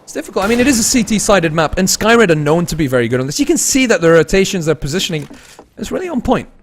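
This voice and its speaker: A young man casting an esports match, laid-back and tired between plays, rising to fast, excited calls when the action heats up. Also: high voice. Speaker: esports caster. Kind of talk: live esports commentary mixed with chatting to viewers.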